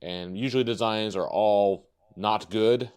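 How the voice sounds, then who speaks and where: A man's voice: fairly low pitch, unhurried, a little mellow, clear, resonant tone. A man hosting a casual podcast, rambling with lots of fillers and easy laughs. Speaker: podcast host.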